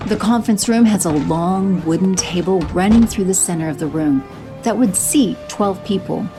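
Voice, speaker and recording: A woman giving steady scripted narration for an audio drama. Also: on the low side, normal speed, over music. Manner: steady, descriptive.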